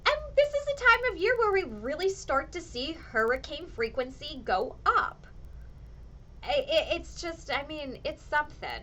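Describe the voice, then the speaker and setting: Chatty, bright-voiced woman meteorologist, slightly hoarse. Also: high voice, normal speed. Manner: animated, ranting, chatty with viewers. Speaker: chief meteorologist hosting a weather show. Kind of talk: casual livestream chat answering viewers.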